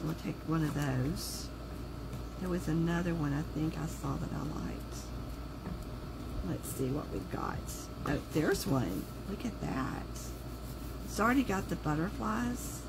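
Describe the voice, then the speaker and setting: A woman's voice: sits low, animated, measured pace, vocal fry, very noisy. A woman with a sore throat, chatting casually while she works on a craft project at home and thinking aloud as she goes. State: sore throat, voice lower than usual. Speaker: craft video host. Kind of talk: casual crafting livestream chat. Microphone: overhead camera on the table, hands only in frame.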